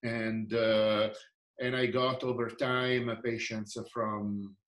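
Older man, Italian accent, low voice, halting speech, video call, echoey room. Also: little pitch movement, a bit bright. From Italy. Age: 60s. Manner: halting, many fillers and restarts. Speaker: researcher and physician. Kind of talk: interview answers over video call. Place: indoor room.

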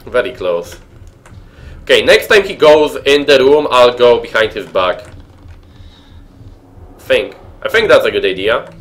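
A man's voice: mid-range voice, big pitch swings, measured pace, pauses often. A young man from Poland with a Polish accent, streaming a horror game from a room at home, chatting with viewers and muttering nervously. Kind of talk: horror game commentary with chat.

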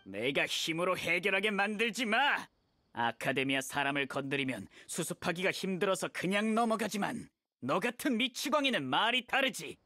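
A man's voice: high-pitched, big pitch swings, moderate pace, sharp tone, dry recording, studio quality, full character voice. A man voicing a hot-headed young mercenary thug in a video game, aggressive and blustering. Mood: angry, threatening. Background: faint game music.

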